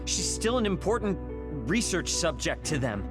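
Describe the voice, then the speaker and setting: A man playing a hot-tempered but soft-hearted young soldier in an anime-style game dub, emphatic and openly emotional. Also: high-pitched, very animated, moderate pace. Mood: troubled. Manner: emphatic, protesting. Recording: loud music behind.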